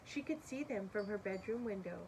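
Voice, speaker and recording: Expressive woman reading a picture book aloud. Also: medium pitch, fairly quick, a little hiss or hum, low-quality recording. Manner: expressive, questioning the listeners as she reads. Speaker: storybook reader. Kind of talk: picture book read-aloud with asides to young listeners.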